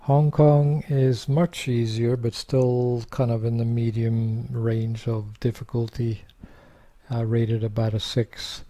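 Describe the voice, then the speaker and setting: A man's voice: mid-range voice, little pitch movement, slow pace, mellow. An older man from Norway talking casually to camera, rambling with many fillers and sharing opinions. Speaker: vlogger.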